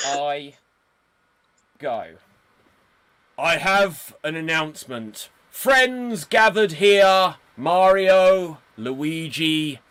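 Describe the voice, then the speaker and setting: A man voicing an excitable male ape king who holds a press conference and calls out a roll of names in a shouty, gasping way. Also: sits high, smooth, dry recording, pitched above the performer's own voice, heavily acted. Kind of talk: improv game on a live stream.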